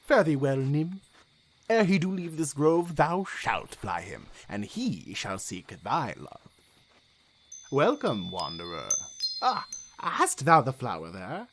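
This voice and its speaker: A man's voice: mid-range voice, huge pitch swings, slow pace, fairly bright tone, slight background noise, exaggerated voice. An actor playing a commanding, scheming fairy king in classical Shakespearean verse, with a declamatory, theatrical manner.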